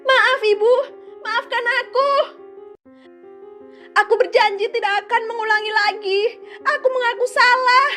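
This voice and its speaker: A woman's voice: fairly even intonation, a little slow, long pauses, bright, forceful tone, soft background music, full character voice. A woman voicing a vain, arrogant young village girl in a children's cartoon, haughty and scornful. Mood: panicked pleading. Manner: haughty and scornful, high shrill voice.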